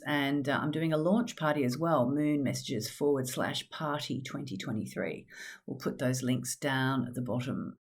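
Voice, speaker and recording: Slightly gravelly, chatty woman host. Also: low-pitched. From Australia. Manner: chatty, upbeat, with frequent fillers. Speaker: podcast host and astrologer. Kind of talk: casual solo podcast chat.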